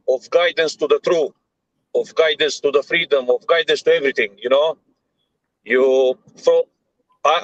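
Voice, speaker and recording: Romanian man, halting on a dull call line. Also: on the high side, a bit bright, dry recording. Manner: hesitant, searching for words in his second language. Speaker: guest. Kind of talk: halting personal story on a livestream.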